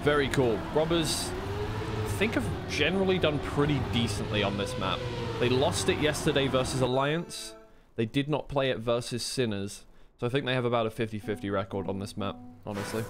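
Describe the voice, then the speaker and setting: Male racing game commentator, mid-pitched, casual co-stream delivery, chatty and off the cuff, noticeable engine noise in the background. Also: a little fast. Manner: chatty, informal, thinking aloud. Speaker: esports caster on a co-stream. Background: racing game car sounds.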